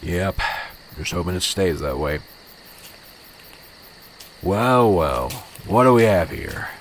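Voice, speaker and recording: Clear background noise surrounds a deep male voice. A man acts out a weary veteran police officer in an amateur radio play. He grumbles with dry sarcasm and brushes things off cynically. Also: lively intonation, slow pace. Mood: wry, wary. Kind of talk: amateur radio drama acting. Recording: clearly noisy, vehicle-like background ambience.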